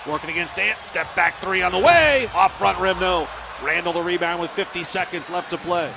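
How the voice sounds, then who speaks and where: A man's voice: sits high, normal speed, vocal fry, noisy background. An American man calling live basketball play-by-play on the radio in clipped, fragmentary phrases. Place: live sports broadcast from the game.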